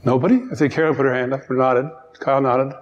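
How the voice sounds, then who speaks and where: A man's voice: mid-range voice, steady tone, long reverb tail, highs cut off. A middle-aged man with a Newfoundland accent, a minister giving an informal, joking talk from the pulpit of a church sanctuary. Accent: Newfoundland English. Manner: chatty, joking, quick asides. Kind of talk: informal children's-time sermon.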